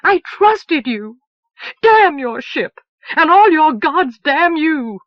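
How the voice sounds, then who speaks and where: A woman reading aloud and voicing an impulsive, desperate young woman who speaks loudly and at a high pitch. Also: big pitch swings, takes it slowly, no room sound, lo-fi sound, heavily acted. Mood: furious. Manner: loud, high and pleading.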